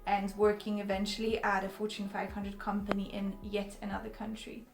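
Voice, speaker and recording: A woman's voice: medium pitch, completely flat delivery, a little fast, gravelly, over music. A young Polish woman tells her personal story straight to camera, speaking with few rises or falls. She is earnest and often stops on an um or restarts a phrase. The room around her sounds echoey. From Poland. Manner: earnest, with frequent ums and restarts. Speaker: vlogger.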